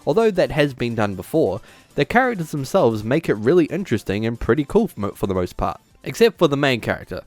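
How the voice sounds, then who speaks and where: A man hosting a scripted but casual, opinionated video review, with sarcastic, exaggerated emphasis and drawn-out words. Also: mid-range voice, sing-song, faint music behind. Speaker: video essay host and reviewer.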